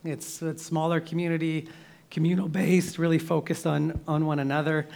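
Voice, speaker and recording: Young male pastor, slightly high voice, throat catching, warm laughs, church handheld mic. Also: level tone, normal speed, gravelly. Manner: emotional, laughs often, loose restarts. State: scratchy throat, clearing it often. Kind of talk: sermon with casual asides. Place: church sanctuary. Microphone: handheld microphone.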